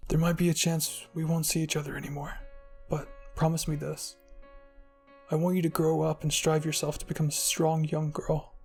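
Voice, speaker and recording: A man voicing a gentle, devoted old grandfather in a story, tender and earnest. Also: a little high, level tone, moderate pace, creaky, faint music behind. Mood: tender, grieving.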